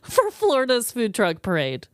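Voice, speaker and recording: A woman co-hosting a podcast, bantering casually with expressive, exclamatory reactions. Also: on the high side, moderate pace, clear, resonant tone, no echo, studio quality. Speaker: podcast co-host.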